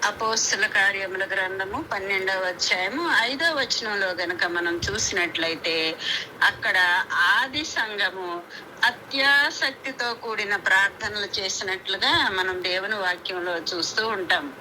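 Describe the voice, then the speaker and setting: An Indian woman preaches in an earnest, deliberate devotional style, repeating key phrases on a conference call. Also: mid-range voice, pushed and bright. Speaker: preacher leading a prayer meeting. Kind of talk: devotional sermon with scripture reading and prayer. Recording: noisy conference call recording.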